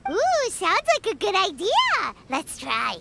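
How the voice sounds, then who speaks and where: Squeaky, tiny childlike sidekick. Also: female voice, wildly swooping pitch, slow, creaky, noisy background, full character voice. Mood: curious, eager. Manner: squeaky, blunt, eager. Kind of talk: video game cutscene acting. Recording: loud game music behind.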